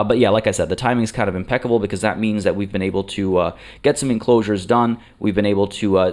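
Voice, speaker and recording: Rambling low-voiced gaming host. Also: male voice. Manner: rambling, many fillers and restarts. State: slightly under the weather. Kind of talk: let's play commentary.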